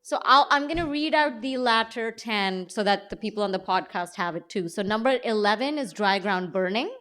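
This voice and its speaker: A woman's voice: mid-range voice, moderate pace, a bit bright. A woman co-hosting a live panel, presenting to an audience in a conversational way. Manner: speaks to an audience. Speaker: co-host and magazine editor. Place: live event on stage before an audience.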